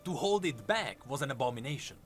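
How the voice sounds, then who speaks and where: A man's voice: mid-range voice, animated, fast talker. A man reading scripted lore narration in a dramatic storytelling manner. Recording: music under the narration. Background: background music.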